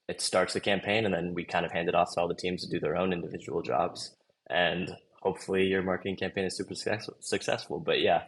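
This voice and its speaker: A young man from Los Angeles, guesting on a podcast, talks in a loose, chatty, easygoing way. His voice sits very low with a gravelly, fry-laden edge. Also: fairly bright tone. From Los Angeles, USA. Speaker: podcast guest, marketing manager. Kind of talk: casual interview chat.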